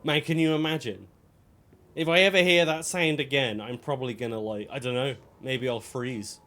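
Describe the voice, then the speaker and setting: A man's voice: medium pitch, fairly even intonation, moderate pace, pushed and bright. Man casually chatting while gaming. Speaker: game livestreamer. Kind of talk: casual chat while playing a video game.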